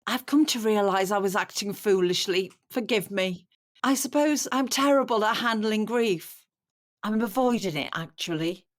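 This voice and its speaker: A woman's voice: medium pitch, animated, moderate pace, lightly acted. An actress playing an elderly widowed mother in an audio drama, wistful and courteous, with a dry, stubborn streak. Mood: polite, contrite. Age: older.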